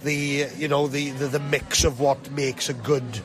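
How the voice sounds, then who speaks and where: A man's voice: mid-range voice, level tone, measured pace, music in the background. A man chatting casually as a performer in an interview. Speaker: pantomime star, interview guest.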